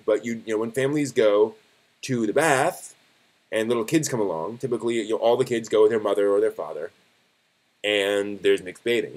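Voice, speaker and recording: A man hosting a live stream, talking casually and conversationally, thinking aloud in a halting way. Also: medium pitch, darkish tone.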